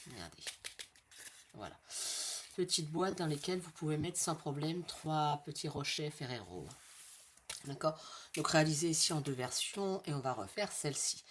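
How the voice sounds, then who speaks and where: A woman with a low voice and a slight head cold guides viewers through a craft tutorial. She chats casually and slows down to repeat each measurement. Clear background noise sits under her voice throughout.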